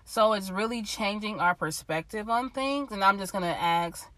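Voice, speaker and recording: A woman's voice: mid-range voice, normal speed, sharp tone, no room sound. A young woman hosting her own podcast from inside a car, chatty and slangy, a little congested from a lost voice. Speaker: podcast host. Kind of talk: casual solo podcast talk.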